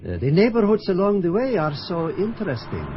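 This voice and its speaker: A man's voice: high-pitched, huge pitch swings, brisk, noisy background. A man acting a calculating Russian commissar and spy in an old radio drama. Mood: scheming. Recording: dull old radio recording.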